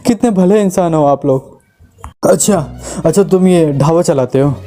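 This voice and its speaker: Man acting a high-pitched earnest husband in need. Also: normal speed, warm tone, soft background music, close to an everyday voice. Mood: earnest, grateful. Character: wealthy grateful husband in distress, pleading for help. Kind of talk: Hindi moral story voice acting.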